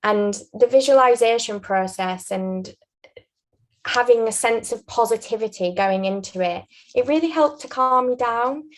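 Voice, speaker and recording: A woman's voice: on the high side, poor audio. Earnest young woman with Yorkshire accent. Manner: earnest, measured advice-giving. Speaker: guest, aspiring barrister. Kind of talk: interview advice.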